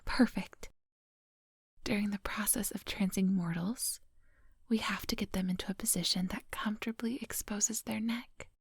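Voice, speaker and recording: A woman playing a coolly menacing, coaxing vampire hypnotist in a soft whispered ASMR roleplay, close to the microphone. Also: medium pitch, fairly even intonation, creaky, slightly rough, no echo. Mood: coaxing, controlled. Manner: slow, coaxing, hypnotic instructions. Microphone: close microphone, dry.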